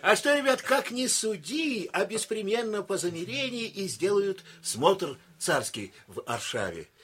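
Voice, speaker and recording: Актёр в радиоспектакле играет весёлого солдата-шутника, который по-простонародному подначивает товарищей. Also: male voice, high-pitched, very animated, fairly bright tone, exaggerated voice. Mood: jovial, teasing. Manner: folksy, drawn-out joking. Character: jovial, teasing soldier joker among comrades. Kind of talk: radio drama acting.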